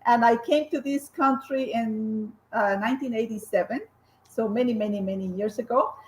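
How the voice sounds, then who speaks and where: A woman's voice: a little high, moderate pace, darkish tone, reverberant, slight background noise, poor audio. A woman in her fifties from Colombia with a Colombian Spanish accent, chatting animatedly as a guest, often restarting her sentences. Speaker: guest, graphic designer and co-founder. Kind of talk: casual interview chat.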